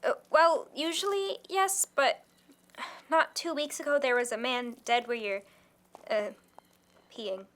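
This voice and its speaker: A woman's voice: sits high, steady tone, slow pace, long pauses, a bit bright. A woman acting as a polite, easily flustered rural young mother in an amateur audio drama. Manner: polite, hesitant.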